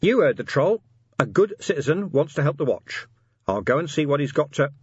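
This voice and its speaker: Man acting a gruff, sardonic police commander, raised pitch. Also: very animated, fairly quick, no room sound, highs cut off. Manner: dry, sardonic, rousing plain talk. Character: weary sardonic male police commander, gruff but decent.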